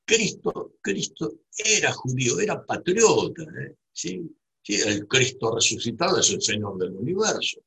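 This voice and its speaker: Slow, husky Argentine man musing aloud. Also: mid-range voice, sing-song, pushed and bright, low-quality recording. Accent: Rioplatense Spanish. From Buenos Aires, Argentina. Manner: digressive, with frequent tag questions and restarts. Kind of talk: reflective monologue.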